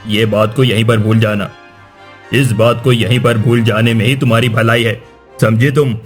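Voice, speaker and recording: A man playing a proud older king and father in a cartoon, with measured, declamatory speech. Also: on the low side, little pitch movement, soft background music, natural voice. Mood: stern. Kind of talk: dramatic Hindi cartoon dubbing.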